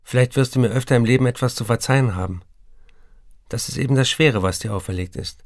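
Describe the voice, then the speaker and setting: An actor playing a dreamy writer and morphine addict in a radio play, confiding in a savouring, intimate way. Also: male voice, medium pitch, fast, no echo, lightly acted. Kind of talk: literary radio play monologue. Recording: studio voice with music behind. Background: music.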